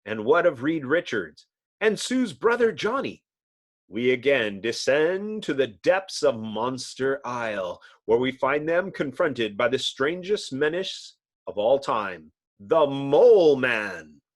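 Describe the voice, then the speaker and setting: Animated man narrating a comic book theatrically. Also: medium pitch, takes it slowly. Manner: theatrical, suspenseful.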